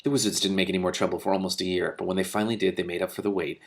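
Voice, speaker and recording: Male book reader, slightly low register, rapid delivery, narrating fiction for a read-along with commentary. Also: level tone. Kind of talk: expressive book read-aloud with off-script commentary.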